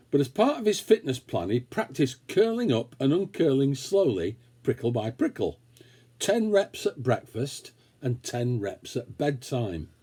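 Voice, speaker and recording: A man reading a children's story aloud, expressively and with dramatic pauses. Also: medium pitch, mellow, husky. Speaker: story reader. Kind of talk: scripted children's story narration.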